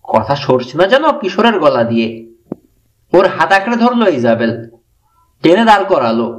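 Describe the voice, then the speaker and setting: A man narrates a mystery thriller story as an audiobook reader. His voice sits a bit high for a man and moves in lively, widely varied pitch, giving the scripted prose a dramatic shape. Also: normal speed.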